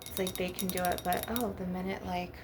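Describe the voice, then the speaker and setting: A woman with a rather low voice that often crackles into fry. She rambles casually, blunt and aggrieved, swearing freely. Clear background noise and clinking glass taps run behind her. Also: level tone, a little fast. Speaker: ASMR video creator. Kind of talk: casual rambling monologue over ASMR tapping. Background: glass and crystal tapping.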